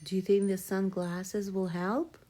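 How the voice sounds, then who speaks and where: A woman putting in gentle-paced questions and comments during a children's read-aloud. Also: mid-range voice, little pitch movement, a little slow, a little hiss or hum.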